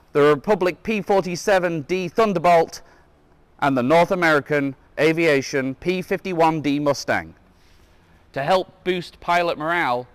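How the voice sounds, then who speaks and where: Higher-pitched man reading aloud, dry close sound. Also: dry recording. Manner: stumbles over words and corrects himself. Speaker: museum guide reading a children's book aloud. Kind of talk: read-aloud storytelling with casual asides.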